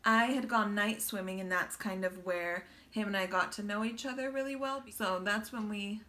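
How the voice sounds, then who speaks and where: A woman's voice: medium pitch, moderate pace, pushed and bright. A woman in her thirties from the United States, chatting and teasing as she tells how she met her husband. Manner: chatty, teasing, giggles often. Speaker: wife telling how they met. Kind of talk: casual storytelling chat.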